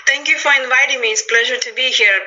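Bright woman with a Lithuanian accent. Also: high-pitched, fast, muffled recording. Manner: hesitant, many fillers, lively storytelling. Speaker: guest, stress management coach. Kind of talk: casual interview talk. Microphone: video call.

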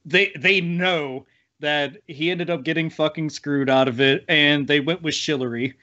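A man's voice: on the high side, fairly even intonation, unhurried, pushed and bright, highs cut off. A man chatting casually and comically as a talk show panelist, swearing freely in rambling riffs. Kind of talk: casual comic political chat.

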